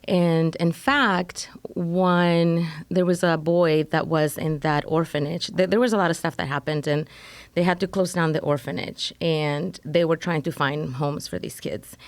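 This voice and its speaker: Low gravelly-voiced woman. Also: fairly even intonation, unhurried, dry recording. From El Salvador. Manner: rambling, many fillers like "you know". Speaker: interview guest, foster mom. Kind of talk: casual conversational interview.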